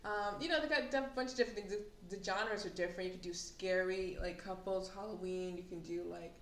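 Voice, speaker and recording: A woman's voice: medium pitch, brisk. Woman, late twenties, podcast co-host; loose rambling chat full of fillers, echoey room with faint hiss. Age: late 20s. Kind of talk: casual chat.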